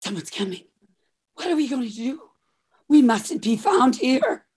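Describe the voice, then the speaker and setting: A woman's voice: high voice, sing-song, unhurried, noisy background. A woman playing a melodramatic, conniving mistreated wife in an old-time radio comedy mystery. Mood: panicked. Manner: overwrought, theatrical.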